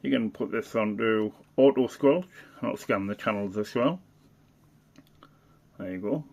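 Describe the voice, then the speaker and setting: A man with a fairly low voice and a lot of gravelly fry talks through a hands-on demonstration. He is unscripted and casual, a hobbyist reviewer who halts and thinks aloud as he works through each feature. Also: measured pace, darkish tone.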